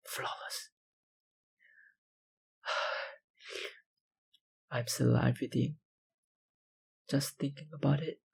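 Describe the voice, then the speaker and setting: A man playing an obsessive, dominant, hungry vampire researcher in an intimate ASMR roleplay, with a breathy, gravelly voice and a softly menacing manner. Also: medium pitch, exaggerated voice. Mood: enraptured. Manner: slow, intimate, softly menacing, lapsing into whispers.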